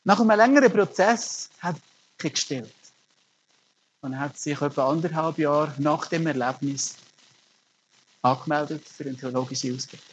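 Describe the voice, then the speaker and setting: A man's voice: fairly high pitch, normal speed, pauses often, a little hiss or hum, poor audio. A middle-aged man, preaching a sermon as a pastor and telling stories earnestly with emphatic rises. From Germany.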